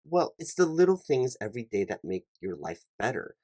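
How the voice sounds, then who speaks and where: A man playing an earnest, slightly flustered recruiting manager in a one-sided job interview roleplay, speaking in halting, stammering corporate talk. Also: medium pitch, big pitch swings, darkish tone, no room sound, studio quality.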